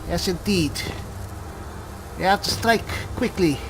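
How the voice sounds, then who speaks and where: A man's voice: high-pitched, takes it slowly, noisy background. A man giving in-character commentary as he roleplays through a video game. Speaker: gamer narrating a playthrough in character. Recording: loud game sound behind the voice. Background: game sound effects and ambience.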